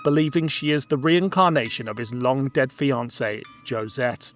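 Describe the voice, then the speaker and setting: A man reading a scripted plot synopsis as narration for a podcast. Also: medium pitch. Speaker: podcast co-host.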